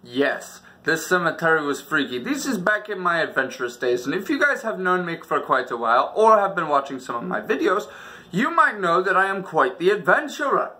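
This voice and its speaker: Guy doing a casual storytime, kinda high bright voice, super dramatic delivery. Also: sing-song, a little fast. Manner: dramatic, casual, acts out dialogue and sounds. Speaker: storytelling channel host. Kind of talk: animated personal storytime.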